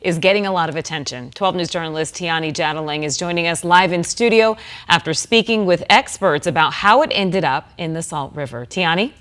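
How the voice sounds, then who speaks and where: A woman anchoring an evening newscast, reading stories in a brisk, steady way. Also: on the low side. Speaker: news anchor.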